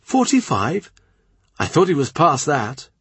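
A man's voice: on the high side, big pitch swings, measured pace, fairly bright tone, slightly rough, no room sound, voice pushed up, full character voice. A man voicing a brash young woman with a mocking tone, in a storybook reading.

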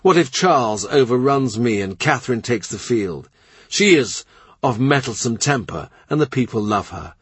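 A man's voice: medium pitch, animated, normal speed, slightly rough, no room sound. A man voicing a middle-aged king, blunt and boastful, with a scornful, brooding manner, in a storybook reading.

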